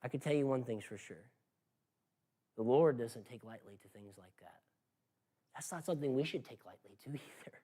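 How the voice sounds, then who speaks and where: A man's voice: mid-range voice, normal speed, pauses often. A man preaching an expository sermon in an earnest, exhorting manner, emphatic and given to rhetorical questions. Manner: emphatic, swings from hushed to loud.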